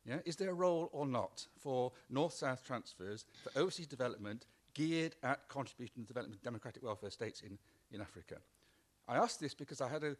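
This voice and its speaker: A man at a panel discussion presses his argument with rhetorical questions at a mid pitch. He keeps checking that the listeners follow with a quick "yeah?". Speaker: conference participant.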